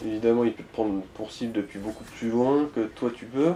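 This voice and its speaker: Male gaming co-commentator. Mellow, mid-pitched, casual and slangy, advising the player over slight background noise. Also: brisk, reverberant. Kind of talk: casual gaming chat with tips.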